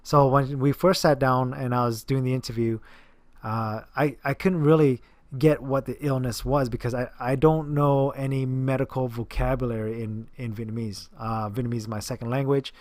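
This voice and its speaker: A man in his role as a personal trainer speaks casually and earnestly, circling back with fillers and false starts. His voice sits at an ordinary middle pitch. The sound is dry and close, with no room around it. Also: fairly even intonation, normal speed, no room sound. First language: English. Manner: rambling, many fillers and restarts, earnest. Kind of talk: casual talk to camera.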